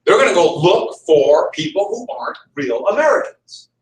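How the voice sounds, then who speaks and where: A man's voice: high-pitched, very animated, normal speed. A male history teacher giving a conversational classroom lecture, repeating key points for emphasis. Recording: echoey room, mic far off.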